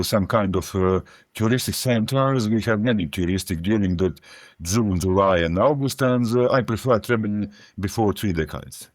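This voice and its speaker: A man, answering interview questions haltingly and restarting often as he searches for English words. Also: lowish voice, dry recording, clean studio sound. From Croatia. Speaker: guest.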